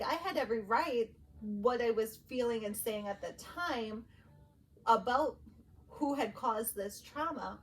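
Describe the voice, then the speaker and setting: A woman's voice: on the high side, recorded from a distance, rough recording. A woman in her thirties co-hosting a podcast, sharing personal stories in a candid, conversational way. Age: 30s. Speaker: podcast co-host. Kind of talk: casual personal storytelling. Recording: faint steady background noise.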